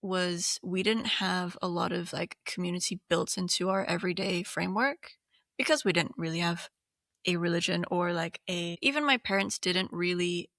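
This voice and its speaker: A woman in her thirties, from Hong Kong, talks casually on a podcast, leaning on fillers as she thinks aloud. Her voice has a gravelly edge but a bright, forward tone. The sound is dry and close. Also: on the low side, moderate pace, no echo. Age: 30s. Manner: chatty, many fillers, laughs often. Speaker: podcast co-host. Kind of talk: casual chat.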